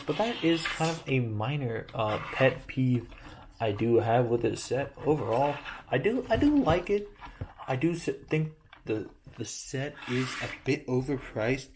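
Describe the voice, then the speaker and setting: Man, casual toy review, rambling, self-correcting, joking, mid pitch, faint background noise. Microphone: handheld camera close-up of toy.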